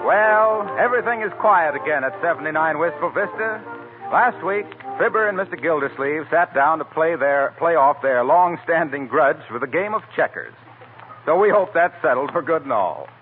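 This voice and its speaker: A man's voice: a little high, lively intonation, moderate pace. A man announcing a scripted radio comedy show in 1940s style. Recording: narrow-band old radio with music. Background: music.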